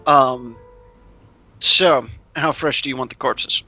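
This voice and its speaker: A man's voice: mid-range voice, fairly even intonation, slow pace, pushed and bright, soft background music, poor audio. A man talking casually, partly in character, as a player in a tabletop role-playing game.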